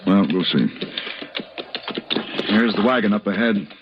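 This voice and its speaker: An actor playing a cool, wry western gunman in an old-time radio drama, laconic and measured. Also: fairly low pitch, natural voice. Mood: steady. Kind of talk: old-time radio western acting and first-person narration. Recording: dull, noisy old radio recording.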